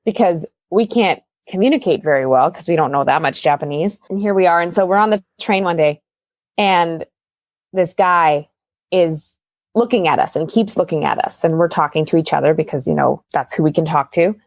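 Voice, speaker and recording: A woman's voice: deep voice, moderate pace, dry recording. A woman with a Canadian accent chatting casually and telling personal stories as an interview guest. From Calgary, Canada. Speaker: guest, magician's assistant and performer. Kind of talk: casual interview chat, storytelling.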